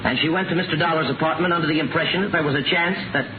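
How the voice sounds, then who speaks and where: An actor in a 1950s radio drama playing a relentless prosecuting attorney with formal, sardonic questioning. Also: male voice, fairly high pitch, very fast, close to an everyday voice. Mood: pressing, stern. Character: stern male prosecuting attorney, pointed, relentless. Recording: noisy, narrow-band 1950 radio recording.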